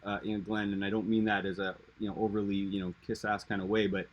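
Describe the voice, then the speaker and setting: A man's voice: fairly low pitch, level tone, quick pace, lo-fi sound. A man hosting an interview, asking long rambling questions full of fillers and restarts. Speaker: interview host. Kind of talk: casual interview talk.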